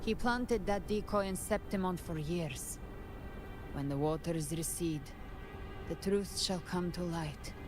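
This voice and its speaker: A woman playing a solemn, enigmatic figure in a fantasy video game, speaking gravely and deliberately. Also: on the low side. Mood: ominous, grave. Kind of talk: dramatic video game voice acting. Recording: loud game music behind.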